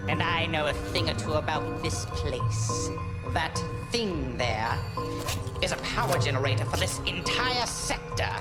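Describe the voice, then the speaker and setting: Crazy mocking jester demon for a game, singsong, pitch all over the place, loud music behind. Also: male voice. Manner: theatrical, taunting, singsong. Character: manic, mocking male demonic jester with a big nose. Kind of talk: video game cutscene acting.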